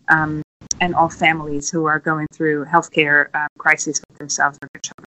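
A woman in her forties from the Netherlands, a podcast guest speaking earnestly and filling pauses with many ums. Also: low-pitched, pushed and bright, a little hiss or hum, low-quality recording. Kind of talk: podcast interview.

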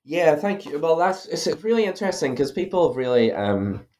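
A man's voice: a little high, very animated, normal speed, darkish tone. A man with an Irish accent, an author explaining his ideas in an interview, thoughtful and full of fillers and restarts. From Ireland. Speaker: author guest. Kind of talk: academic interview.

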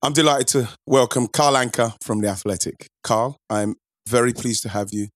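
A man hosting a casual football podcast, laid-back and affectionate, quick with short agreements. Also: medium pitch, steady tone, no room sound, clean studio sound. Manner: laid-back, affectionate, lots of "yes" and "nice one". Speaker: podcast host.

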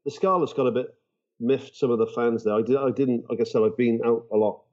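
A man's voice: medium pitch, fairly even intonation, a little fast, a little mellow, muffled recording. A man chatting casually about sport as a podcast guest, in an informal, blokey and opinionated way. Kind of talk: casual rugby chat.

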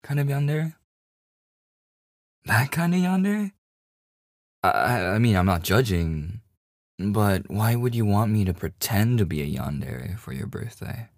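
A man plays a devoted boyfriend with a hidden obsessive streak in an ASMR roleplay. His voice is low and very close and dry. He talks slowly and intimately, with hesitant stammers. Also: very animated, no echo. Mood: incredulous. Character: devoted, nervously joking boyfriend hiding obsessive yandere streak. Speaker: voice actor.

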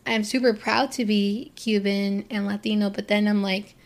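A woman's voice: medium pitch, fairly even intonation, normal speed. A young woman from Florida talking casually to camera, rambling with lots of fillers and restarts as she opens up. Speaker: vlogger. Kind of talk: casual personal talk to camera.

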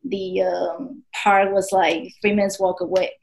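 A woman's voice: on the low side, level tone, unhurried, gravelly, rough recording. Chatty woman with a Taiwanese accent. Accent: Taiwanese Mandarin. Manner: chatty, many fillers, laughs often. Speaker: guest author. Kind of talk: casual podcast interview.

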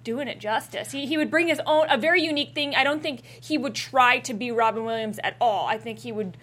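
A woman's voice: a little high, fairly quick, fairly bright tone. A woman co-hosting a casual chat, giving her opinions in a chatty way.

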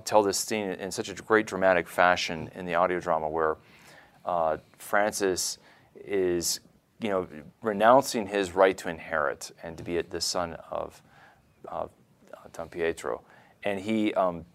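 Low-pitched man, enthusiastic halting explainer. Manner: enthusiastic explainer, halting delivery with repeated words. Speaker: podcast co-host, religious scholar. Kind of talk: conversational religious commentary.

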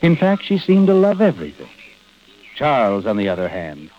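An actor playing a sinister, all-knowing narrator, ominous and insinuating, in 1940s radio mystery style. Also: male voice, mid-range voice, huge pitch swings, moderate pace. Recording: dull old radio recording, music behind.

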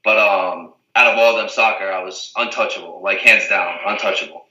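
A man's voice: sits low, steady tone, brisk, pushed and bright, echoey room, far from the mic, low-quality recording. A young man from the Azores, a reality TV guest, chatting casually and rambling with lots of "like" and "you know what I mean". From Portugal, the Azores. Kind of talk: casual interview chat.

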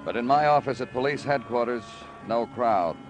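An actor playing a world-weary New York police detective in a 1950s radio crime drama, with clipped questions and moody, poetic narration. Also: male voice, medium pitch, moderate pace, highs cut off, background noise, close to an everyday voice. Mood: brooding. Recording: old radio recording, music behind.

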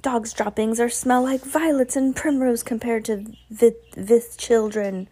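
Storybook villain: a woman as a commanding, hateful witch queen, heavy slow thunder in every phrase. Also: on the high side, fairly even intonation, dark tone, breathy edge, voice pushed up. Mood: disgusted. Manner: thundering, slow, emphatic delivery. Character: imperious hateful female Grand High Witch.

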